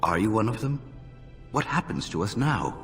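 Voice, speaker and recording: A man's voice: fairly low pitch, sing-song, normal speed, fairly bright tone, soft background music. An actor playing a weary, guarded male captive in a video game, wary and grave. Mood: wary relief. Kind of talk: video game cutscene acting.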